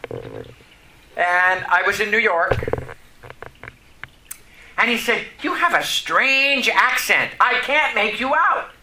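A man preaching a folksy sermon who grew up in Vermont and whose first language is French. He often turns to the congregation with questions and pauses between phrases. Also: high-pitched, bright, forceful tone, slight background noise, rough recording. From Vermont, USA.

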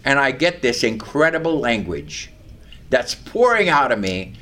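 Animated older male preacher, loud voice. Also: medium pitch, a little slow. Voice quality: loud, carrying voice. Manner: animated storytelling, reads scripture aloud. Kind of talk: sermon with personal testimony.

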